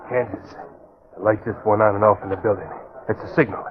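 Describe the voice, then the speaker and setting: An actor playing a gruff male waterfront arsonist thug in 1940s radio drama style, urgent and rough-edged, on a muffled old radio broadcast. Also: on the low side, fairly even intonation, fast talker, background noise, natural voice.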